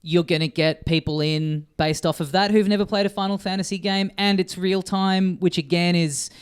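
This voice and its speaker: This man has a smooth, clean voice set a little high for a male speaker. He co-hosts a podcast about games, explaining his opinions in a casual, colloquial way with made-up quotes dropped in. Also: steady tone, moderate pace. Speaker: video game podcast host. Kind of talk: casual chat.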